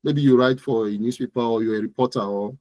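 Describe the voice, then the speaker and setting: A man's voice: medium pitch, fairly even intonation, fast, warm tone, no echo. A man with a Nigerian accent giving interview answers as an expert guest, explaining things emphatically and often repeating words and phrases. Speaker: guest digital marketing expert.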